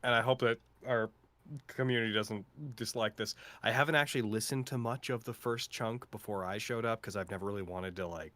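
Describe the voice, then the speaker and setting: A man who works as a voice actor, talking casually and reflectively in a talkative, expressive way. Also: fairly low pitch, brisk, no echo. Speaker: voice actor in an audio drama. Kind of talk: casual reflective cast chat.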